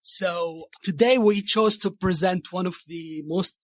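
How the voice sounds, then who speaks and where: A man's voice: high-pitched, unhurried, a bit bright, dry recording. Eager man from Saudi Arabia, dry sound. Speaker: chief cardiology fellow, guest. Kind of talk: podcast interview, introducing himself and a place.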